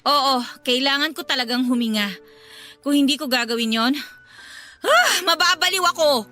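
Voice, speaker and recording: Irritable young Filipina student, very high and bright voice, swooping emphatic delivery, radio drama. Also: female voice, expressive, normal speed. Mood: stressed, irritated. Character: exasperated twenty-year-old female pre-med student, stressed older sister. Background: music.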